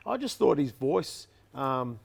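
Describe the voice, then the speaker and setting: A man co-hosting a boxing show, talking in a relaxed, hedging way. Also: mid-range voice, slow, creaky, over a noisy crowd. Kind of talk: casual sports chat.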